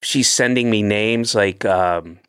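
A man's voice: fairly low pitch, takes it slowly, a bit bright, smooth, dry recording, studio quality. A man in his late fifties hosting a podcast, chatting casually and rambling with self-deprecating asides and restarts. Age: 50s. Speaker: podcast host. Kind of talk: casual chat.

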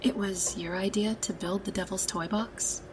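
A woman's voice: mid-range voice, fairly even intonation, normal speed, slight background noise, lightly acted. An actress playing a casual, unfazed young woman in an audio drama, with an offhand, understated delivery. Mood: quietly curious.

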